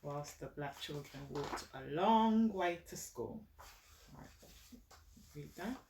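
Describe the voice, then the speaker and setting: Unhurried woman reading to a child, echoey. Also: sits low, animated, dark tone. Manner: encouraging, teacherly, checking the child understands. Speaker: mother reading a picture book with a child. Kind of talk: children's read-aloud with gentle prompting. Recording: roomy echo, distant mic, faint noise.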